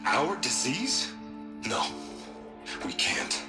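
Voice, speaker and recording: An actor playing a self-righteous, remorseful tech magnate in a video game, pleading and halting as he confesses. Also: medium pitch, wildly swooping pitch, over music, exaggerated voice. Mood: fervent, resolved. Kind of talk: dramatic video game cutscene acting.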